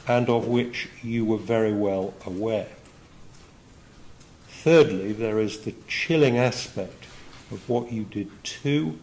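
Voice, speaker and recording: A male judge speaks very slowly in a low voice. He delivers formal sentencing remarks in measured phrases with pauses, with faint background noise in a courtroom. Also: a little mellow, rough recording.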